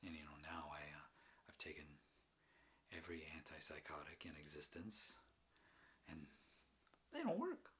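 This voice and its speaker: A man performing a halting, rambling confessional monologue as an anguished, troubled man, with sighs and bitter emphasis. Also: very deep voice, wildly swooping pitch, fairly bright tone, full character voice. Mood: agitated. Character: anguished, mentally ill man confessing and defending his drinking. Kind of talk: dramatic stage monologue, confessional.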